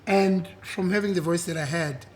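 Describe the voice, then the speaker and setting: Brief: husky-voiced South African man in his twenties or thirties, slightly high-pitched, relaxed guest talk with a faint hiss behind. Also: moderate pace. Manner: relaxed, switches between English and isiZulu, repeats "yeah". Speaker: guest, singer. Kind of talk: casual interview chat.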